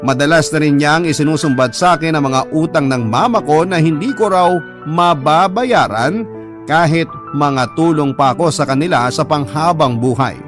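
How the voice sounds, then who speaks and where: Man narrating over music. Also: fairly high pitch. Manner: earnest, polite storytelling. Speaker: letter reader, narrator. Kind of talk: scripted narration of a listener's letter. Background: background music.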